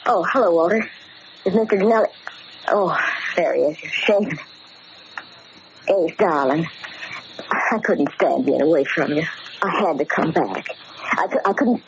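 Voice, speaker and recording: A woman's voice: on the low side, unhurried, long pauses. A woman playing a gangster's young sweetheart, breathless and melodramatic, in a 1940s radio drama. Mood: pleading. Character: gangster's returning sweetheart, pleading and distraught. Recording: muffled, very noisy old radio recording.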